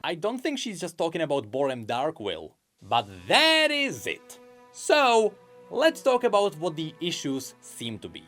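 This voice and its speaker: A man's voice: a little high, huge pitch swings, measured pace, fairly bright tone, faint music behind. A man narrating a scripted commentary video about game character lore, eager and explanatory.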